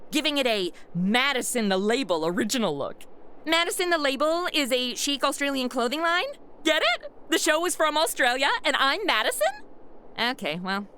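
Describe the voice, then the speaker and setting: An actress playing a sassy young modern influencer in a comedic audio drama, snarky and argumentative with casual slang. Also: female voice, high voice, sharp tone, clear, resonant tone, full character voice.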